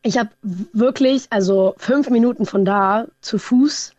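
A slightly hoarse woman from Hamburg, a singer chatting as a guest in a casual interview, rambling with quick asides. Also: on the high side, darkish tone, dry recording, highs cut off. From Hamburg, Germany. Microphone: remote connection.

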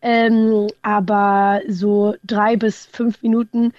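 A slightly hoarse woman from Hamburg, a singer chatting as a guest in a casual interview, rambling with quick asides. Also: mid-range voice, level tone, a little mellow, no room sound, highs cut off. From Hamburg, Germany. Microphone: remote connection.

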